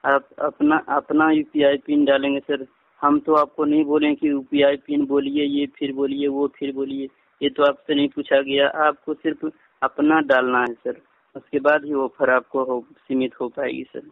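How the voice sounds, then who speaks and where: A man's voice: on the high side, lively intonation, moderate pace, gravelly, dry recording. A man on a recorded phone call, talking as a customer care agent in an insistent, repetitive, persuasive way and politely addressing the listener as sir. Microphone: phone line.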